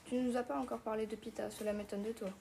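A woman interviewer reading out her questions quickly. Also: fairly high pitch, level tone, vocal fry, mellow, slight background noise.

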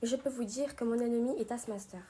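A woman's voice: fairly high pitch, fast, mellow, a little hiss or hum, natural voice. A woman playing a confident female superhero spy, answering plainly and steadily. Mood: steady.

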